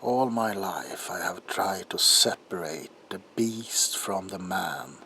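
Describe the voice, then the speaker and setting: A man playing a troubled, desperate doctor in an ASMR roleplay monologue, speaking haltingly in a hushed voice that often drops toward a whisper. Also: low voice, expressive, full character voice. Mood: earnest, intense. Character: troubled doctor protesting innocence, desperate and haunted. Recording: audible background noise.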